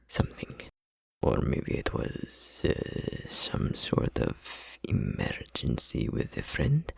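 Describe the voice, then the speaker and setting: A man playing an easygoing, chatty tattoo studio employee in an ASMR roleplay, talking in a breathy, husky, casual, rambling way. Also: deep voice, dry recording, heavily acted.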